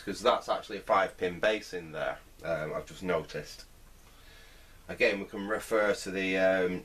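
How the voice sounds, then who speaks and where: A man's voice: sits low, fairly bright tone. A middle-aged man gives casual hands-on repair commentary, hesitantly thinking aloud as he works at an indoor bench. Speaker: vintage radio restorer, presenter. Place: indoor workbench.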